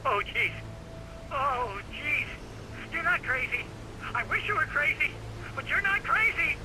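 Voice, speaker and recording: Game comic sidekick: nervous wreck, shrill, agitated, loud noise around him. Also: male voice, expressive, unhurried. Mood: panicked. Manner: agitated, repeats himself. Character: jittery, panicky male friend.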